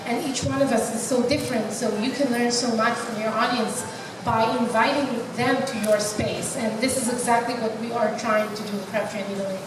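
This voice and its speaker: An Israeli-accented woman speaking as a panelist, direct and emphatic. Her voice sits a little high and comes from some distance, with a long echo filling a noisy event hall. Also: steady tone, a little fast. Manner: upfront, emphatic. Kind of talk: panel discussion. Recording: echoey hall from far off, noisy.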